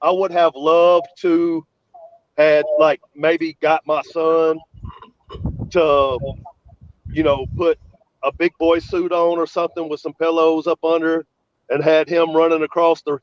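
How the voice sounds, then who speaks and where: A man's voice: fairly high pitch, measured pace, dull audio. Congested, sniffly man hosting a chat. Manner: rambling, relaxed storytelling. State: congested and sniffly, recovering from illness. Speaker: host. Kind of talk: casual podcast chat.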